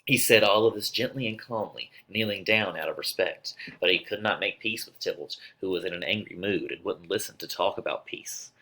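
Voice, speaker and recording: A man's voice: low voice, brisk, few pauses, bright, forceful tone, low-quality recording. A man playing an earnest, loyal young nobleman giving his lines in a declamatory play reading.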